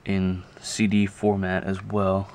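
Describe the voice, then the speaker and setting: A man giving a casual, unscripted show-and-tell to a camera, talking haltingly and thinking aloud as he goes. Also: low voice, completely flat delivery, a little mellow. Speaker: video creator showing a music collection. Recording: clear background noise, amateur camera audio.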